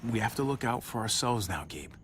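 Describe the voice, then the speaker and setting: A man playing a steady, caring survivor of a zombie apocalypse in a video game, speaking calmly and sincerely. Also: lowish voice, fairly quick, slight background noise. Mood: gently firm. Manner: calm, reassuring, sincere. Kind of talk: naturalistic dramatic video game voice acting.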